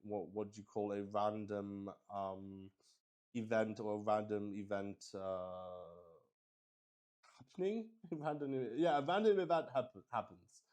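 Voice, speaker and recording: A man improvising game narration for a solo tabletop roleplay stream, musing out loud in a halting way. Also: lowish voice. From Luxembourg.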